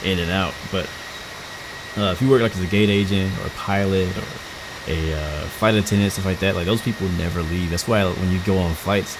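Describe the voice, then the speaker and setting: A woman from Houston with an extremely low voice chats loosely to her stream, stumbling and restarting her sentences as she goes. A steady whir of noise sits clearly under her voice. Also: a little fast. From Houston, Texas. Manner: rambling and chatty, frequent restarts and stumbles. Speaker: flight sim livestreamer. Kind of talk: casual live-stream chat while flying.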